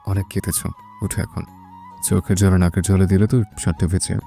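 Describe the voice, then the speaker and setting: A man acts out a young husband in an audio romance, bossy and teasing. His low, dark voice gives curt commands, with music behind it. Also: fairly even intonation, brisk, gravelly. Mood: calm, commanding.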